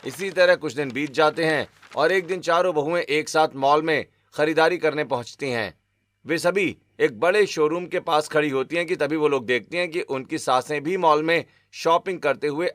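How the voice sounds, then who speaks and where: Man narrating in a highish voice. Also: fairly bright tone, no echo. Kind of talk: scripted cartoon story narration in Hindi.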